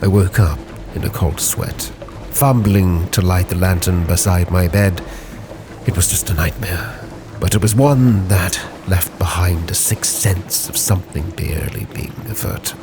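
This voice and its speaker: A man giving solemn, brooding, theatrical first-person narration for a roleplay story. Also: deep voice. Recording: prominent music behind the voice.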